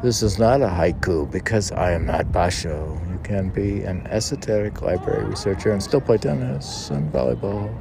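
A man with a lowish, gravelly voice reads his own aphoristic poetry slowly and haltingly, line by line, dropping in casual asides. He is outdoors, with clear city noise and traffic behind him. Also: expressive. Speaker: poet reading own work. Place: outdoors on a city museum lawn.

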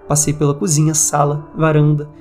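A man's voice: a little high, monotone, a little fast, darkish tone. A man with a Brazilian accent hosting a podcast, reading out and commenting on listeners' eerie stories. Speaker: podcast host. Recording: music bed under the voice. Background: background music.

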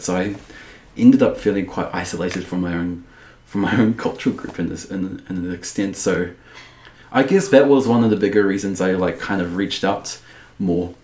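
A man's voice: deep voice, darkish tone, reverberant. A young man, a podcast guest, talking casually, rambling and full of restarts and "like". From New Zealand. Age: young adult. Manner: rambling, heavy use of "like", many restarts.

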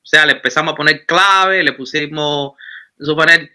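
A man's voice: on the high side, normal speed, clear, resonant tone. A Cuban man in his thirties, a musician talking about his career in a casual interview, rambling with many restarts. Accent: Cuban Spanish. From Cuba. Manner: animated, rambling with frequent restarts. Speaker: musician guest.

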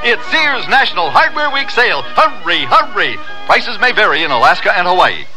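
A man's voice: sits high, very animated, normal speed, sharp tone, rough recording. A man as a commercial announcer, hawking a sale in a showy carnival barker style. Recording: 1970s radio ad with music.

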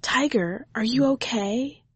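A woman's voice: a little high, slow pace, husky, dry recording, pitched above the performer's own voice, full character voice. A woman voicing an earnest, affectionate five-year-old boy with simple, pleading speech in a storybook reading. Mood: hesitant.

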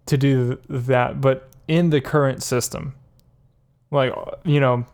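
A man's voice: mid-range voice, steady tone, unhurried, a little mellow. A man co-hosting a casual podcast, rambling through his points with many fillers and frequent laughs. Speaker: podcast co-host. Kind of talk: casual political chat.

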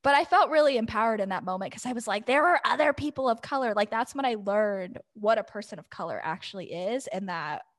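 A woman's voice: on the high side, expressive, fairly quick, few pauses, dry recording, studio quality. A young adult woman speaking as a podcast guest, telling personal stories in a chatty, informal and long-winded way. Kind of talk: casual conversational personal storytelling.

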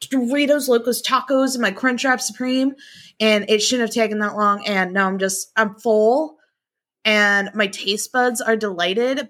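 A woman co-hosting a casual podcast from home over a call, telling stories in an animated, profane, exasperated way. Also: fairly high pitch. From Nebraska, USA. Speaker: podcast co-host.

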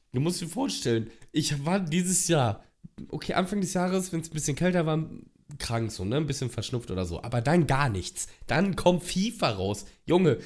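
A young man co-hosting a podcast, hoarse from a cold, rambling and complaining in casual chat with plenty of swearing. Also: on the high side. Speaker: podcast co-host.

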